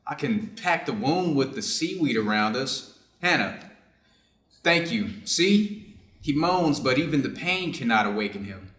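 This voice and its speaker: A man reading the part of a middle-aged Japanese surgeon in a staged story, reflective and clinical, with formal, deliberate phrasing. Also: medium pitch, animated, fairly bright tone. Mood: clinical concern. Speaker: reader's theater performer. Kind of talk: staged reading of a short story, measured delivery. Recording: echoey room.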